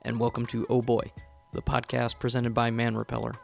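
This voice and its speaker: A man's voice: medium pitch, monotone, a little fast, vocal fry, faint music behind. A man hosting a podcast, chatting casually and enthusiastically with his guest, with many eager agreeing interjections. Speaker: podcast host.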